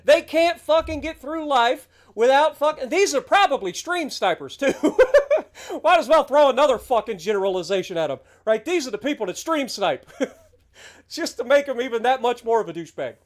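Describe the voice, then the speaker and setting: A man's voice: very high voice, lively intonation, moderate pace. A man in his late thirties, a game streamer, talking through a heated, sarcastic, heavily profane rant on his livestream. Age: 30s.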